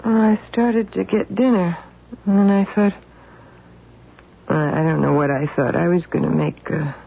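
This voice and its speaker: An actress playing a dazed housewife who speaks haltingly in an old radio drama. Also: female voice, fairly low pitch, steady tone, creaky, slightly rough, full character voice. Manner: hesitant, halting speech. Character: dazed housewife Edith, embarrassed after passing out. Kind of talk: 1970s radio drama acting. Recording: tinny lo-fi old radio broadcast.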